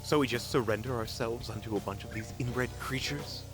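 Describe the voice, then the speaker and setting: A man's voice: medium pitch, fairly quick, music in the background, close to an everyday voice. A man playing an irritable, haughty magic-using healer in a fantasy audio drama, with sardonic, formal wording.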